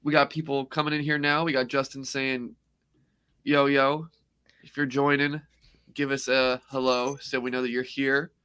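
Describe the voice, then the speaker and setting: Man co-hosting a livestream, hoarse voice, bright and forceful, rambling, upbeat and jokey. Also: medium pitch, level tone, normal speed. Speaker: co-host. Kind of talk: casual livestream chat.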